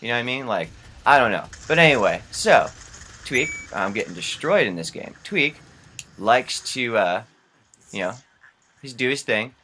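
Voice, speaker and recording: A man's voice: mid-range voice, lively intonation, moderate pace, bright, forceful tone, slight background noise. A young man telling casual, rambling stories as a gaming vlogger, with slangy, incredulous asides. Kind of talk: casual rambling storytelling over gameplay.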